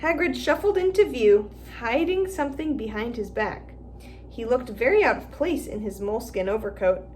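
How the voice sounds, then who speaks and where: Teacher narrator, female, clear and expressive storybook reading, fairly high. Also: normal speed, a little hiss or hum. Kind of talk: storybook read-aloud narration.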